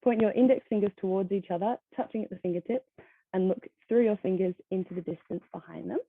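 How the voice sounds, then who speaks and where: A seventeen-year-old woman giving a conference talk over a video call, soft-spoken with many ums and light laughs. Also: medium pitch, fast, gravelly, no room sound. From Australia. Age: teens. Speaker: conference speaker. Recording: tinny phone-like line, dry.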